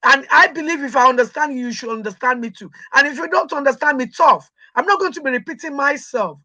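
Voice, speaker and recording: Emphatic high bright Nigerian woman. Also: fast talker. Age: middle-aged. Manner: emphatic, proud, repeats for stress. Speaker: guest. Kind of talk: interview conversation.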